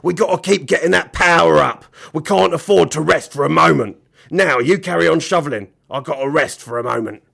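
A man voicing a fierce, bossy, lazy old storybook villain with barking, rough colloquial speech. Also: fairly high pitch, fairly quick, fairly bright tone, pitched above the performer's own voice, heavily acted. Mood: bossy, angry.

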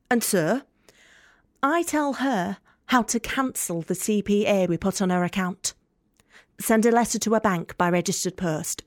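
A woman's voice: medium pitch, dry recording, studio quality, lightly acted. An actress playing a tough, guarded working woman from Leeds with a Yorkshire accent, blunt, wry and confiding in an audio drama monologue. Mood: touched. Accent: Northern English, Yorkshire.

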